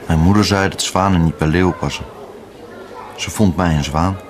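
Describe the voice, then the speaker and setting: An actor playing a wistful man clinging to his former lover, gentle and musing, in a naturalistic radio drama. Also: low voice, moderate pace, a crowd in the background, noisy background, lightly acted.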